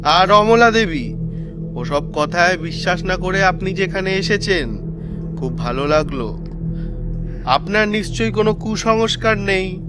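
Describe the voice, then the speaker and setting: A man's voice: sits high, animated, measured pace, long pauses. A man playing an evasive house seller in a Bengali audio drama, reassuring and dismissive, repeating himself insistently. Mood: probing, reassuring. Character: evasive, insistent lone man selling his eerie house, Sadashib. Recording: loud background music.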